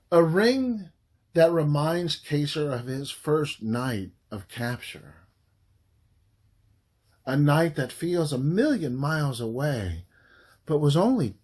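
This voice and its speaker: A man narrates historical fiction for an audiobook at a very slow pace. His voice sits a little high and swings widely in pitch. He tells the story deliberately and dramatically, leaving pauses between phrases. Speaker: author reading own book aloud.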